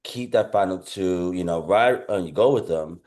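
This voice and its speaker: A man's voice: deep voice, normal speed. A man co-hosting a podcast in a casual chat, agreeable and a little hesitant as he gives his views. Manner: agreeable, soft backchannels, hesitant. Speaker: podcast co-host.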